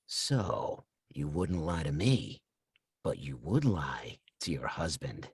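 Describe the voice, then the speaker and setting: A man playing a menacing, seductive phone stalker in a slasher film, with a slow, insinuating manner. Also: very deep voice, sing-song, exaggerated voice. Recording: echoey video call.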